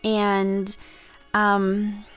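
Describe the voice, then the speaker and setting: A woman co-hosting a podcast in casual chat, calm and earnest as she tells stories. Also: mid-range voice, drawn-out words, smooth, faint music behind. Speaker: podcast co-host.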